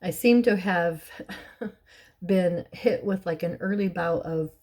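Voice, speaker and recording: Middle-aged woman, hoarse and raspy, low mellow tone, talks through a casual Bible study. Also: slow. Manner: warm, chatty, with restarts and soft laughs. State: hoarse from bronchitis, clearing her throat.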